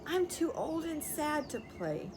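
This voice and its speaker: A woman's voice: high voice, very animated, unhurried, noisy background, voice pushed up. A woman voicing a needy boy grown into a weary old man in a storybook, blunt, tired and demanding. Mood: sad, wanting.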